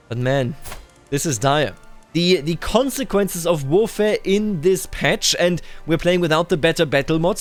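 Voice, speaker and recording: A man hosting a strategy game roleplay, commenting deliberately and musing aloud as he plays. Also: a little high. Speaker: gaming video host. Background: background music.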